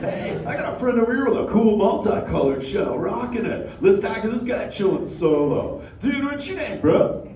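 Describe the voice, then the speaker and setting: A man's voice: high-pitched, big pitch swings, moderate pace, mellow. An actor voicing a laid-back male sea turtle dad who talks in surfer slang, drawling "dude" and "bro" to a live crowd. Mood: easygoing, playful. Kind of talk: live interactive show with a crowd. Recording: roomy echo, distant mic, crowd noise.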